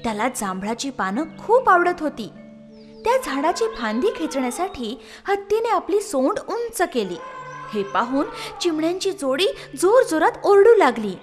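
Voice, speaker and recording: Woman narrator for kids' animated stories, high voice, lively lilting delivery, faint music bed. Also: moderate pace. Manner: expressive, lilting storytelling. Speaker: storyteller. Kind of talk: scripted children's story narration.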